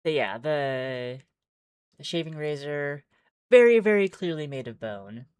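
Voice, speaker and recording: Female game streamer, low register, chatty reader who thinks aloud; slightly roomy sound, faint music underneath. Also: lively intonation, measured pace, darkish tone, clean tone. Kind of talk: game playthrough commentary, reading aloud.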